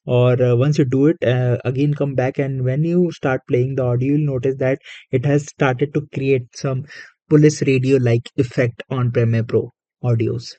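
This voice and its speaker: A man narrating a casual software tutorial as its host, speaking haltingly with frequent hesitations. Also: mid-range voice, fairly even intonation, no echo.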